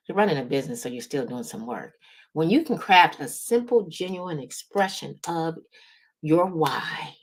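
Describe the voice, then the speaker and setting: Low-pitched woman giving motivational coaching. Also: breathy edge. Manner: emphatic, encouraging, often checks in with "right?" and "okay?". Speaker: business coach and series host. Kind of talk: motivational coaching talk to camera.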